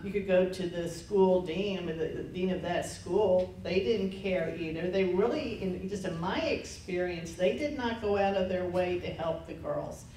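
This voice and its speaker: A woman's voice: on the low side, darkish tone. A woman in her sixties from Texas, telling anecdotal stories at a lunch lecture and laughing at her own tales. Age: 60s. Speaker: lecture panelist. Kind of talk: storytelling talk at a lunch lecture. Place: lecture room. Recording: echoey room, distant mic, faint noise.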